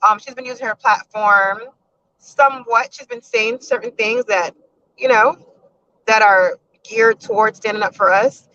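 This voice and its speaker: A woman co-hosting a casual talk show, asking halting, searching questions. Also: fairly high pitch, lively intonation, creaky, bright, forceful tone. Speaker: podcast co-host. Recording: dull lo-fi sound.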